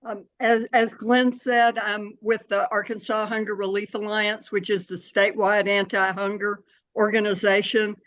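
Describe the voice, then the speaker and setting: An older woman giving measured, explanatory answers in a conversational interview. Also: mid-range voice, fairly bright tone, dry recording, highs cut off. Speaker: interview guest, city board member and anti-hunger advocate.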